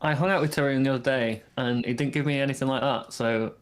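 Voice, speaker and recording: Teasing man at a mid pitch. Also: fairly even intonation, quick pace. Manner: teasing, playful. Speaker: podcast co-host. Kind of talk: casual chat.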